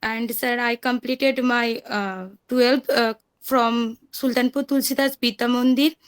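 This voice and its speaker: A young woman student from India speaks. Her voice is fairly high and smooth, and she talks slowly, with hesitant pauses and a polite "sir" again and again. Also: fairly even intonation. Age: young adult.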